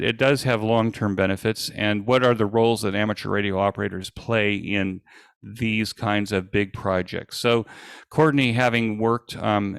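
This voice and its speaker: A man giving an informal presentation as an event organizer, speaking in a halting, hesitant way with frequent pauses. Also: fairly low pitch, vocal fry, a bit bright, smooth, dry recording, studio quality.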